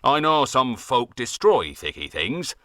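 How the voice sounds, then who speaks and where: A man's voice: mid-range voice, big pitch swings, a little slow, sharp tone, no room sound, clean studio sound. A man voicing an old West Country farmer who rambles on in folksy dialect with a West Country accent, grumbling at red tape. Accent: West Country English. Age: older.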